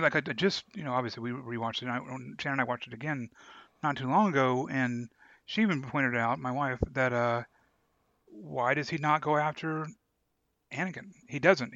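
A man with a mid-pitched, bright, sharp voice co-hosts a casual fan podcast. He talks tentatively, thinking aloud. The recording is very dry and a little dull. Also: moderate pace, no echo. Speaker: podcast host.

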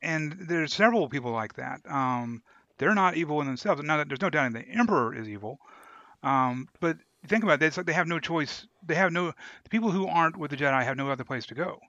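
Bright-voiced man, dry slightly dull recording. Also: on the high side, expressive, a little fast, dry recording. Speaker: podcast co-host. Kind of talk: casual discussion.

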